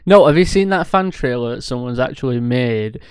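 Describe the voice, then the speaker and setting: A man's voice: mid-range voice, animated, normal speed, clear, resonant tone, dry recording, clean studio sound. A young man co-hosting a podcast, bantering casually with friends in a blunt, sweary way. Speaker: podcast co-host.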